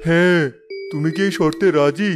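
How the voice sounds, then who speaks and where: A poor village husband from a cartoon , acted by a man, mild and placating. His voice is quite high for a man. Faint music plays behind him. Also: normal speed, close to an everyday voice. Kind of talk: cartoon character dialogue.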